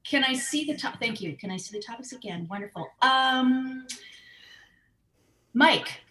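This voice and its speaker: A woman with a fairly high voice plays as a quiz contestant. She speaks casually, in short conversational turns. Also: expressive, measured pace, sharp tone. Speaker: game show contestant. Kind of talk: casual chat.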